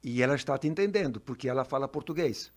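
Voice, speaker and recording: An older man quoting a blunt middle-aged woman school cook, in his own voice but quieter. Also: mid-range voice, lively intonation, fast, natural voice. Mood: blunt, quizzical.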